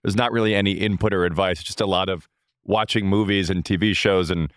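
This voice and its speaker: A young man with a low baritone voice, a guest chatting casually with frequent restarts and fillers. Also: fairly even intonation, no echo, studio quality. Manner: halting, many restarts. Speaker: guest, impressionist. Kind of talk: casual chat.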